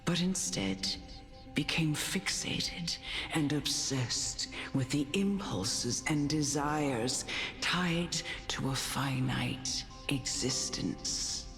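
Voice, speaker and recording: Deep, slow, serene noblewoman. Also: lively intonation, fairly bright tone, full character voice. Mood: contemplative. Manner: measured, philosophical, formal phrasing. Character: serene, wise noblewoman, a gentle motherly mentor. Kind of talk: scripted fantasy game dialogue. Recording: long reverb, clear music behind.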